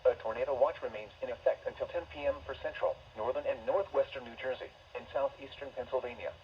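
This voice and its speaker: A man's voice: mid-range voice, steady tone, fast talker. A synthesized man's voice reading formal emergency weather warnings in a stilted, scripted way as an automated radio announcer. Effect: synthesized voice over weather radio. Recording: dull, noisy weather radio broadcast.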